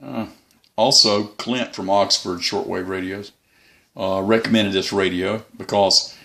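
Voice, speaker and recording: A man giving a casual, unscripted hobby review as the channel's host, in a halting, rambling way. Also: fairly low pitch, fairly even intonation, creaky, sharp tone.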